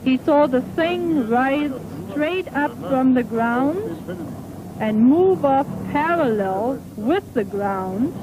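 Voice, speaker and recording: A woman's voice: sits high, expressive, slow, a crowd in the background. A woman relaying a witness account in an old newsreel. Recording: noisy old newsreel, outdoor background noise.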